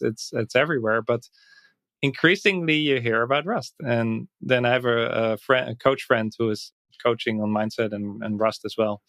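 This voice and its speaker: A Dutch man, a native Dutch speaker, talking in English as a podcast guest, reflective and thinking aloud with soft laughs. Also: mid-range voice, no echo. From Netherlands. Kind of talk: casual interview chat.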